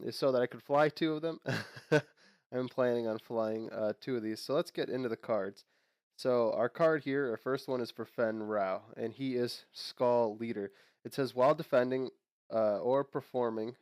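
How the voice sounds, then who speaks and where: A man's voice: medium pitch, darkish tone, dry recording, studio quality. A young man hosting his own channel, sounding nasal from a cold, chatting casually and rambling with fillers and restarts. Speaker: host. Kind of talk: casual unboxing and lore talk.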